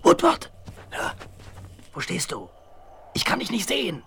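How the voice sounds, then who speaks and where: An actor playing a scheming, boastful male spy in a 1970s western radio drama, excitable and conspiratorial. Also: sits high, measured pace, vocal fry, very noisy, full character voice. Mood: furtive. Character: scheming boastful tramp spy posing as a clerk. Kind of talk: 1970s German audio drama acting.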